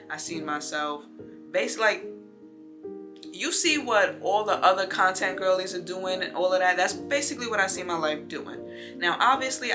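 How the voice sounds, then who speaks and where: A woman in her late twenties, a vlogger talking casually to her viewers in a rambling, heartfelt way with lots of fillers. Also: low voice. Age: 20s. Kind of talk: casual talk to camera.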